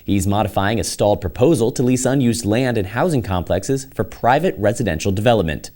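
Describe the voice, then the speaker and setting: A man's voice: lowish voice, expressive, a little fast, mellow. A man with an American accent reading a scripted news report as a reporter and anchor.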